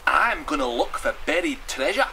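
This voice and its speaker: A man's voice: fairly high pitch, very animated, normal speed, creaky, sharp tone, slight background noise, pitched above the performer's own voice, heavily acted. A man voicing a whiny, grumpy young Scottish storybook character who complains indignantly. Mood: cheerful.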